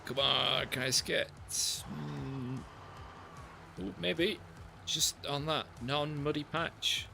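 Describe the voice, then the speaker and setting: A man chatting casually with his live-stream chat while playing a driving game, relaxed and talkative. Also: on the high side, slow, gravelly, background noise.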